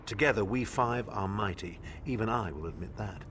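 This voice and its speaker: An actor playing a grim, proud companion to a hero in a video game, speaking bluntly and solemnly. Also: male voice, fairly low pitch, normal speed, fairly bright tone, a little hiss or hum, close to an everyday voice. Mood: solemn warning.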